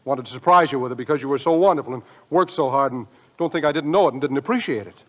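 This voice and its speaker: An actor playing an earnest, easily exasperated young husband on a farm in old film comedy style, stammering when emotional. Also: male voice, mid-range voice, rapid-fire, lightly acted. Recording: dull old film soundtrack.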